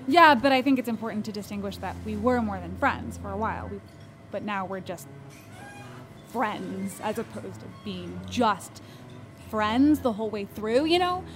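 A woman's voice: medium pitch, normal speed, close to an everyday voice. An actress playing an anxious young woman in a naturalistic audio drama, hesitant and over-explaining as she insists she is fine. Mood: flustered. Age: young adult. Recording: music behind the voice.

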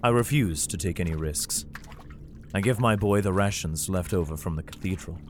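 A man's voice: low voice, normal speed, a little hiss or hum, lightly acted. An actor playing a weary, protective father and guarded survivor, with tense, brooding narration in an audio drama. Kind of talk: audio drama acting with first-person narration.

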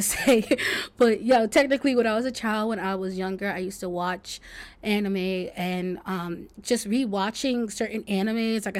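A young woman around twenty speaks as a solo podcast host. Her delivery is casual and informal, wandering from thought to thought as she reflects aloud. Her pitch sits in an average range for a woman. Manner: informal, rambling, peppered with "like".

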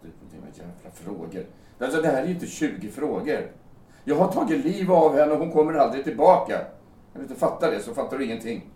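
A man acting a grieving, bitter young bridegroom who blames himself, halting and agitated, in a naturalistic audio drama. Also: medium pitch, big pitch swings, mellow. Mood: angry, bitter. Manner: agitated, sarcastic, swearing, halting. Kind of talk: naturalistic radio drama dialogue. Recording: roomy, distant mic, faint background noise.

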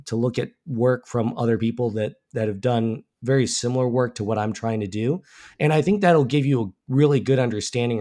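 A man's voice: medium pitch, mellow. A man from Alabama co-hosts a casual podcast while fighting a cold, his voice thick with congestion and starting to go. He talks in a loose, rambling way, often stopping to restart a phrase with "uh" and "um.". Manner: casual, many ums and restarts. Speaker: woodworking podcast co-host.

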